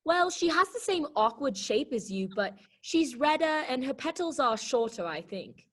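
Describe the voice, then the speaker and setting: A snooty garden flower voiced by a woman looks down on everyone in a children's storybook play. The voice is high and lively in its swings, with a condescending, snippy edge. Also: moderate pace. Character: snooty, blunt female talking garden flower. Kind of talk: children's readers theater read-aloud.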